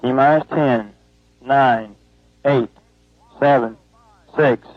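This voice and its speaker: Launch controller, male, played by an actor, muffled radio sound, extremely slow spaced-out delivery, flat and procedural. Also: mid-range voice, close to an everyday voice. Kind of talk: clipped launch countdown. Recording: dull lo-fi radio transmission.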